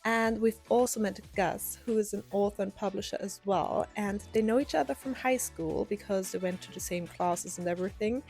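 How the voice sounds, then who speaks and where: A woman's voice: medium pitch, moderate pace, few pauses, darkish tone, soft background music. A woman book vlogger talking to camera in a casual, chatty, enthusiastic way.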